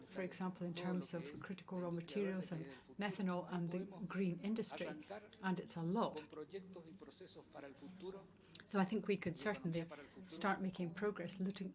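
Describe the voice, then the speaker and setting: A woman interpreting formal meeting speeches live, in halting, phrase-by-phrase English delivery. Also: on the low side. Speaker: simultaneous interpreter. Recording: loud crowd murmur behind.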